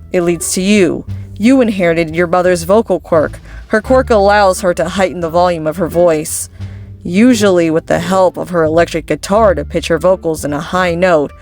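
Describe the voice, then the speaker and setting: A woman playing a calm, wise parent in an animated comic dub, explaining things in a measured way. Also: on the low side, close to an everyday voice.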